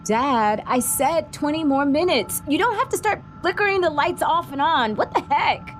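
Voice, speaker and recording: Woman as self-critical teenage sportswoman for audio drama: driven, high-pitched, very expressive. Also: normal speed, music in the background. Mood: impatient.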